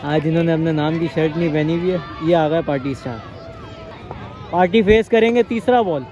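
Excited young cricket commentator. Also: male voice, on the high side, brisk, muffled recording. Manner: excited, rising sharply on big shots. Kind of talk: live amateur cricket commentary. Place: outdoor sandy ground with a crowd. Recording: crowd noise around an outdoor mic.

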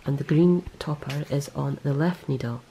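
Woman, low dark gravelly voice, rambling self-correcting show-and-tell. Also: normal speed, slight background noise, low-quality recording. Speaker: knitting vlogger. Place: indoors, close-up on hands and yarn.

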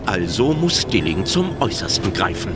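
A male narrator tells a scripted audio drama. His voice is on the low side and swings widely in pitch, with loud music underneath. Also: big pitch swings, moderate pace.

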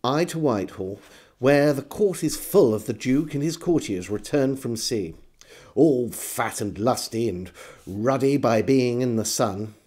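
Animated, mellow English male storyteller. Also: mid-range voice, husky. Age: middle-aged. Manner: measured, theatrical storyteller delivery. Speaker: storyteller and host. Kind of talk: dramatic literary reading of a historical diary. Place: book-lined room at home, indoors.

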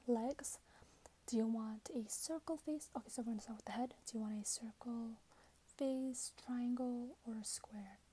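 Soft, whispery woman in mellow ASMR roleplay. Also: on the high side, husky, poor audio. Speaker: ASMR creator playing a robot companion clerk. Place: small plain room indoors. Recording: hissy background noise, keyboard typing. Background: keyboard typing and clicks.

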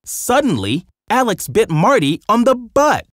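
Animated male storybook narrator. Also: high voice, a little slow, no echo, clean studio sound. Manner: dramatic storytelling. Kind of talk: scripted children's story narration.

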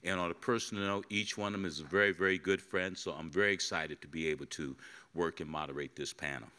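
A middle-aged man moderating a conference panel at a podium, formal with filler pauses. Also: sits low, fairly even intonation, bright, forceful tone. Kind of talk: introducing a panel. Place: conference hall stage, at a podium. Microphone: podium microphone.

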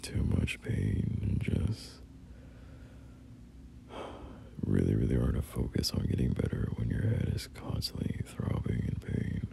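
A man playing a gentle, doting boyfriend in an ASMR roleplay, half-whispering soothing, hesitant reassurance in a breathy murmur. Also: a bass voice, sing-song, moderate pace, slight background noise, heavily acted. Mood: reassuring, caring. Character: gentle, doting boyfriend comforting an unwell partner.

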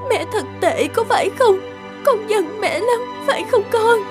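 A fragile young mother full of grief and guilt in a cartoon drama. Her voice is extremely high, plaintive and emotional, over clear music. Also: lively intonation, unhurried. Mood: tearful, overwhelmed. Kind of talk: melodramatic cartoon drama acting. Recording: studio voice under loud music.

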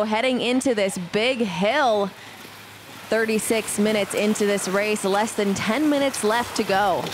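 A woman giving recap commentary as a voice-over on a cross-country ski race, in a brisk play-by-play style. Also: mid-range voice, noisy background. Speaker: sports commentator.

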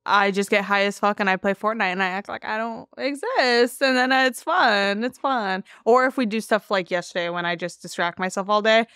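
Bright, very clear young woman chatting candidly. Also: mid-range voice, moderate pace, hardly any pauses, no room sound, clean studio sound. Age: 20s. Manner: candid, slangy, heavy cursing. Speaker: podcast co-host. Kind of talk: casual podcast chat.

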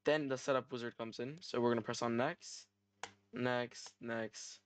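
A man hosting a software tutorial, narrating step by step in an instructional style. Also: mid-range voice, steady tone, a little slow, slight background noise. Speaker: tutorial host.

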